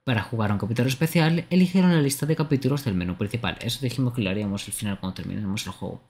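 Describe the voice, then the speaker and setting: A man's voice: medium pitch, racing, a little mellow, slightly rough. A man streaming a video game, reading its text aloud and commenting on it. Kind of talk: live game dubbing and commentary. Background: game music.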